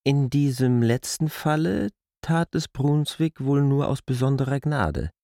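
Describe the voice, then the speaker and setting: A man's voice: medium pitch, expressive, a little slow, gravelly, mellow, no echo, studio quality. A man narrating a literary radio drama from a written script.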